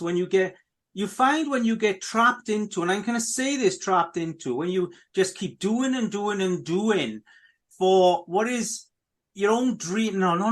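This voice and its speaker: Chatty high-voiced male podcast host. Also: a bit bright. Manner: chatty, repetitive, keeps addressing listeners. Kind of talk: casual radio chat.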